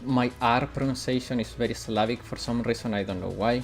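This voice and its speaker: Chatty Italian gaming streamer, mixed accent. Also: male voice, mid-range voice, a little fast, soft background music. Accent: mixed British and American English. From Italy. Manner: chatty, answers chat while playing. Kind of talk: casual live-stream chat.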